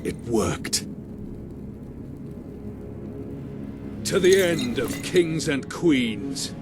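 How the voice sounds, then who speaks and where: A calculating revolutionary villain over music. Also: male voice, a little high, sing-song, full character voice. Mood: triumphant. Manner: deliberate, theatrical speechmaking. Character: calculating male revolutionary villain, bitter, self-righteous. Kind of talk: dramatic video game cutscene acting. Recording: loud music under the voice. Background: loud game score.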